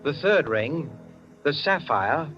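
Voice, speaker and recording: A man narrating a scripted 1940s radio drama in a measured, literary, dramatic manner. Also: on the high side, animated. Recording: muffled 1940s radio recording with music.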